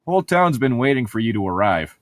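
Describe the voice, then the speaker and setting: A man's voice: mid-range voice, sing-song, fairly quick, dry recording. A man co-hosting a comedic read-along, reading a book aloud with casual, irreverent, sweary banter.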